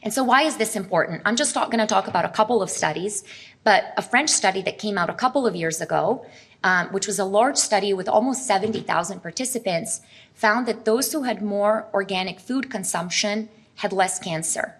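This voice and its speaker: A woman's voice: mid-range voice, brisk, fairly bright tone, breathy edge. A middle-aged woman from Serbia, giving an earnest, explanatory presentation to a live audience at a health expo and asking them questions.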